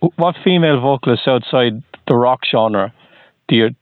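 A man interviewing a musician, asking relaxed questions with brief hums in between. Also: medium pitch, normal speed, no echo, phone-quality sound.

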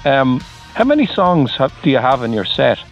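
A man interviewing a musician, asking relaxed questions with brief hums in between. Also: medium pitch, normal speed, highs cut off, faint music behind.

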